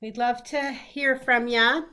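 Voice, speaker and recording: A middle-aged woman hosting a casual live-stream chat as a quilting teacher, a little hoarse from a cold, chatty with lots of ums and throat clearing. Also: a little high, fairly even intonation, slow, bright, forceful tone. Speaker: quilting teacher and show host. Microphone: computer setup, live stream.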